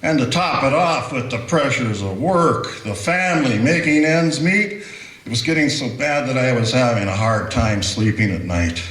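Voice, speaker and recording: A man voicing a drowsy, forgetful pitchman in a spoof radio ad, rambling and trailing off. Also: normal speed, a bit bright, close to an everyday voice. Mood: weary. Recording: roomy echo.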